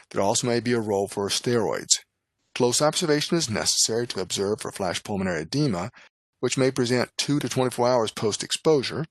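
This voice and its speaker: A man narrating a scripted medical lecture as an instructor, in a formal, measured, instructional manner. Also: fairly low pitch, no echo. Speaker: lecturer, nurse and poison specialist.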